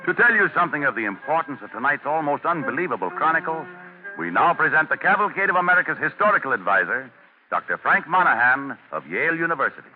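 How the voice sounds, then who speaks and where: Male radio announcer with swooping pitch. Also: big pitch swings, quick pace. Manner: formal, declamatory. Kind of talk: scripted 1940s radio announcing. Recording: tinny 1940s radio broadcast. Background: loud music.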